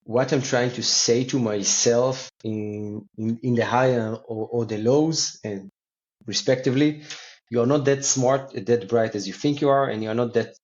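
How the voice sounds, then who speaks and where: A man who speaks as a talks in a conversational interview style at a middle pitch. He halts and often repeats words and phrases as he explains. The recording is dull and lo-fi. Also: a little slow, darkish tone. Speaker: company co-founder and CEO, interview guest. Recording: dull, lo-fi call audio.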